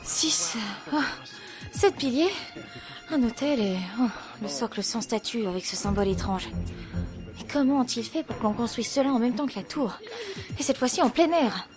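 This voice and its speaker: A woman's voice: on the high side, lively intonation, normal speed, close to an everyday voice. A woman playing a bold, cool-headed, teasing adventurer in a French audio drama, with a resolute, conspiratorial manner. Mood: awed, daring. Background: dramatic music.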